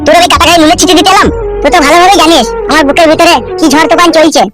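A man's voice: very high voice, steady tone, rapid-fire. A man voicing a homeless, self-pitying, foul-mouthed village man in a comedy cartoon, whining and ranting in a rural West Bengal accent. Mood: aggrieved. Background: music.

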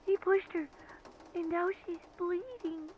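A woman playing a frightened, hesitant young girl on an emergency call in a video game, pleading and halting. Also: extremely high pitch, highs cut off, a little hiss or hum. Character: frightened young girl calling police dispatch.

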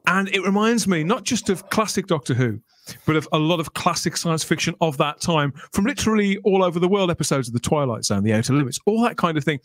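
A man's voice: a little high, lively intonation, fast talker, dry recording. A man hosting a casual livestream, wordy and emphatic in the way he talks. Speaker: podcast host.

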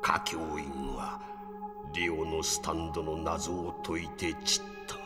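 Deep-voiced, solemn old grandfather. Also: steady tone, over loud music. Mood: grave, urging. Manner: grave, deliberate, old-man speech. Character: old grandfather's spirit, solemn, calmly counseling his grandson. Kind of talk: Japanese audio drama acting.

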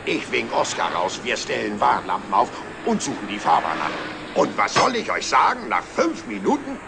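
Casting: jovial middle-aged trucker, gravelly, very lively delivery, noisy old TV soundtrack. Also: male voice, lowish voice, moderate pace, heavily acted. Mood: storytelling, animated. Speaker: actor. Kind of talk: 1960s TV drama acting, anecdote telling. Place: outdoor truck stop café.

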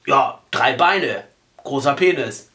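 A man's voice: mid-range voice, animated, slow, sharp tone, reverberant. A man in his twenties talking casually as a prank caller, brash and crude, with a mock-earnest colloquial way of speaking. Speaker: prank call host. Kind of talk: casual prank phone call. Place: small living room, indoors.

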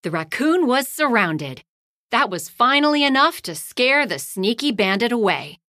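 A woman reading a children's picture book aloud, hushed at the bedtime lines and louder in the action. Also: on the high side, no room sound, clean studio sound. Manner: slow, lively storytelling, hushed at bedtime lines and loud in action. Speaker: storybook reader.

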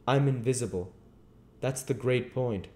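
A man's voice: medium pitch, a little slow, dark tone, pitched above the performer's own voice, lightly acted. A man voicing an impatient, commanding invisible man in a storybook, explaining with emphatic insistence.

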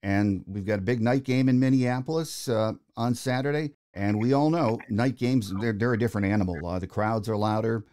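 A man's voice: lowish voice, moderate pace, few pauses, darkish tone. An American man hosting a sports podcast, setting up questions in a conversational way. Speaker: podcast host, interviewer.

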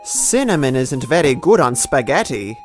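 A woman's voice: extremely low pitch, big pitch swings, moderate pace. A woman voicing a proud, exasperated male skeleton cook in a comic dub, theatrical and boastful. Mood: matter-of-fact. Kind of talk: comic dub cartoon acting. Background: music.

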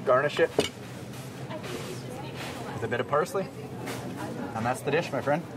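A man in his thirties hosting a cooking demonstration, relaxed and chatty, often laughing and calling his guest "man". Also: mid-range voice, measured pace. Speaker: chef and cooking segment host. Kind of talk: casual cooking demonstration chat. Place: indoors in a large grocery store. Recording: distant mic with store background noise.

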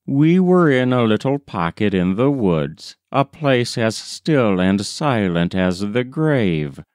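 Slow, smooth-voiced male story reader. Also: lowish voice, a little mellow, no room sound, clean studio sound. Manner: measured, deliberate storytelling. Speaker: audiobook reader. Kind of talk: scripted literary narration of a short story.

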